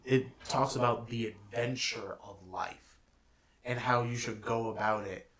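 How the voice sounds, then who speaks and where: Slow-talking young man explaining games. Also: medium pitch, fairly even intonation, a bit bright. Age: young adult. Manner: slow, thoughtful explainer with trailing fillers. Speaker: podcast co-host. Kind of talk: casual chat about games and movies.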